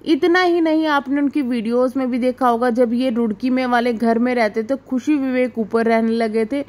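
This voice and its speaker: A woman with a clearly high-pitched voice speaks as the host of a reaction video. She delivers informal gossip commentary straight to her viewers, explaining point by point in an explanatory, questioning manner. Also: steady tone, moderate pace, a little mellow.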